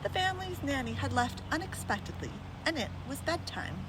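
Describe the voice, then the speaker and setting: Expressive high-voiced woman reading a children's story. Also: a little fast, noisy background. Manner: addresses young listeners directly with questions. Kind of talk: expressive read-aloud with chatty asides.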